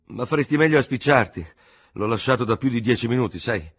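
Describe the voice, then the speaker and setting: Brief: man doing a snappy, urgent young officer, husky and loud. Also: mid-range voice, expressive, fast talker, pitched above the performer's own voice. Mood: curt, urgent. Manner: terse, irritated. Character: curt, rattled young male army lieutenant.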